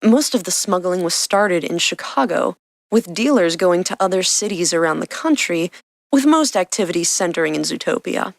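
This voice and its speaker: A woman's voice: on the low side, expressive, moderate pace, vocal fry, no room sound. A woman podcast host reading a scripted story as its narrator.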